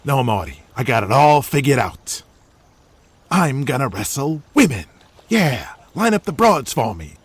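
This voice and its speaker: A man voicing a washed-up, cocky, excitable little movie star in an audio drama, brash and pleading like a wise guy. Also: medium pitch, a little slow, breathy edge, no echo, voice pushed up. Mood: scheming, excited. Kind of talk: comic audio drama acting.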